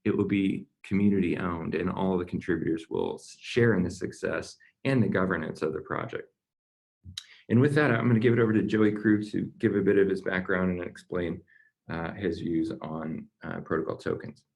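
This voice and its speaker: A man with a fairly low, gravelly voice, hosting a conference call. He talks in a reflective, big-picture way. His tone is loose and unhurried. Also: darkish tone. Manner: reflective, big-picture, hands over to the next speaker.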